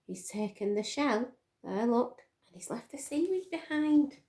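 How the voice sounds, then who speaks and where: A woman's voice: a little high, lively intonation, a little slow, warm tone, slight background noise. A woman reading a picture book aloud to young children, expressively, with questions to her listeners. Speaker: storytime reader for children.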